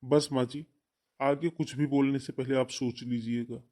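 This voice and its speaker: A man's voice: medium pitch, level tone, normal speed, dark tone, natural voice. A man voicing an earnest, devoted young husband in a cartoon family drama, with a pleading manner. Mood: pleading, hurt.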